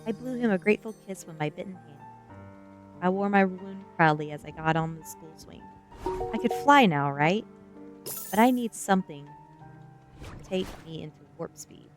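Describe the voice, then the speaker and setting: A woman reading a first-person story aloud in an ASMR style, narrating with expressive, dramatic emphasis. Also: on the low side, moderate pace, soft background music. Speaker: streamer reading a novel aloud.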